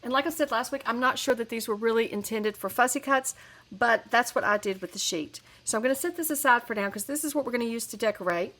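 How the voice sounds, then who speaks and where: A woman's voice: a little high, fast talker, a bit bright. A woman with a head cold, chatting casually through a crafting tutorial with lots of fillers and restarts. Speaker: crafting channel host.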